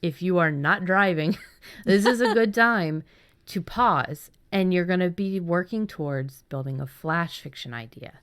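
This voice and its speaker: A woman's voice: on the low side, measured pace. A woman hosting a casual podcast about writing fiction, chatting in an enthusiastic way. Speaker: podcast host.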